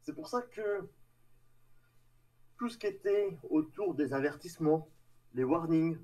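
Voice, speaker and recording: Guy doing a tech talk online, slightly high voice, halting, sounds off mic. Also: lively intonation, a little mellow. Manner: halting, hesitant delivery with trailing phrases. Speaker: tech meetup presenter. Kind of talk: live technical presentation with slides. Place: online video meeting with screen share. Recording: distant mic on online call.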